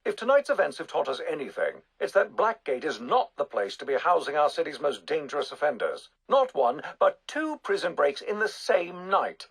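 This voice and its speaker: Zealous tv debate bigwig, raspy highish voice, pompous, very animated speechifying. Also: male voice, moderate pace, few pauses, full character voice. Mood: insistent. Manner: emphatic, pompous, oratorical. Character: zealous, self-assured male public figure lobbying on TV.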